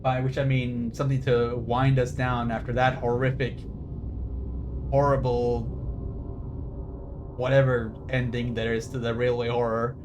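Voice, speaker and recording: A man's voice: mid-range voice, little pitch movement, a little slow, long pauses, background noise. A man streaming a game, giving casual live commentary in a rambling, repetitive way. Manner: rambling, repetitive, sweary reactions. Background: game music.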